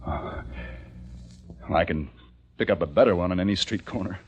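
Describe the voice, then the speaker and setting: Deep-voiced haunted young American survivor. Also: male voice, moderate pace, husky, muffled recording. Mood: guarded, casual. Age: young adult. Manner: intense, theatrical, brooding. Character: young educated male survivor of a plague, haunted, watchful. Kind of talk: dramatic first-person narration in old-time radio acting. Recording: vintage radio recording with music behind.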